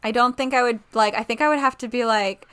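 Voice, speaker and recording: A woman's voice: on the high side, steady tone, fast talker, bright, forceful tone, clear, resonant tone. A woman podcast co-host chatting casually about a TV show in an informal, rambling way, often correcting herself.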